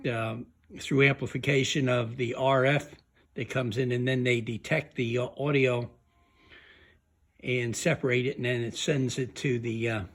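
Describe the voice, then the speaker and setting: A man giving an informal, unscripted demonstration as a hobbyist, explaining haltingly and hesitantly with trailing phrases. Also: medium pitch. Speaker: radio restoration hobbyist demonstrating a restored set.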